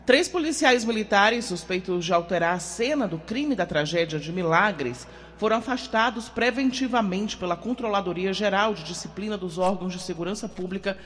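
A radio news co-anchor reading headlines crisply. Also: mid-range voice, normal speed, hardly any pauses, a bit bright, long reverb tail.

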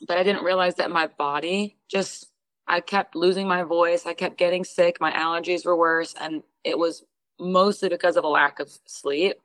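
Young woman, low-ish voice, lively storyteller, dry close recording. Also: normal speed, dry recording, rough recording. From Houston, Texas. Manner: lively storyteller who acts out what others said. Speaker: guest singer. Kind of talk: casual interview storytelling.

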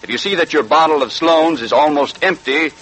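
A man's voice: on the high side, a little fast, a bit bright, husky, low-quality recording. A man reading a scripted advertisement as an announcer in 1940s radio style.

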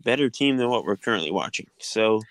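A man's voice: lowish voice, animated, brisk, no room sound, poor audio. A man hosting a casual sports podcast, talking in an easygoing way with lots of fillers and restarts. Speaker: podcast host.

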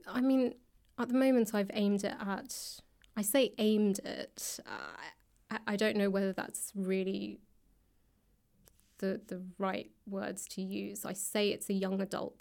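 A woman talking casually as an author guest, tentative and modest, quick to laugh. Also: medium pitch, vocal fry, a little mellow. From England. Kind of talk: casual interview conversation. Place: library room.

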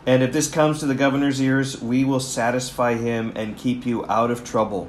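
A man's voice: medium pitch, little pitch movement, normal speed, a little hiss or hum. A man, a pastor hosting a Bible reading, talking with enthusiasm and turning to his listeners with asides. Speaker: pastor and host. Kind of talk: casual chat, then Bible reading.

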